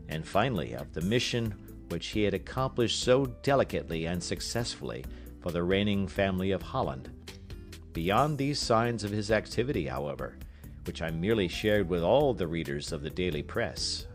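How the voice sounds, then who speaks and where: A man narrating an audiobook in an expressive literary style, with measured, careful phrasing. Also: low-pitched.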